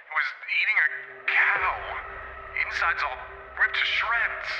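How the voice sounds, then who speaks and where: An actor playing a frightened rural woman calling a radio show after hitting something on a dark road, halting and shaken. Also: sits high, animated, reverberant, dull audio, over music. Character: panicked rural woman caller after a night crash.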